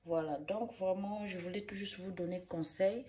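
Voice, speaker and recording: A woman's voice: mid-range voice, steady tone, moderate pace, dark tone, slight background noise. A woman with a cold and a cough, casually demonstrating a hair care recipe at home and rambling with many fillers.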